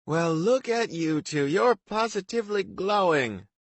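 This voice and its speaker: A man's voice: high voice, lively intonation, a little slow, no echo, voice pushed up, full character voice. A man voicing a chatty older woman stranger in an audiobook. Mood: gushing.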